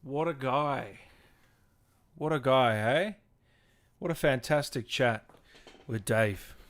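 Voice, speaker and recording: A man's voice: mid-range voice, slow. A man in his twenties chatting casually as the host of a gaming show. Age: 20s. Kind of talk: casual livestream chat.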